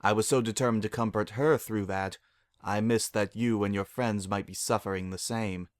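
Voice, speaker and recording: A man voicing a regal, gentle princess mare who speaks in a formal, measured and solemn way for a story reading. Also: on the low side, fairly even intonation, no echo, close to an everyday voice. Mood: regretful. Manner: formal, measured, archaic courtesy.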